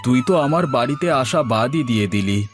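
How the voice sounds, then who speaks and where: Man plays a careworn cartoon villager, middle-aged family man, plaintive, mid-pitched, music underneath. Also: animated, moderate pace, close to an everyday voice. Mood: plain, weary. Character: hard-up, worried middle-aged village father and husband. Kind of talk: cartoon moral-story dialogue.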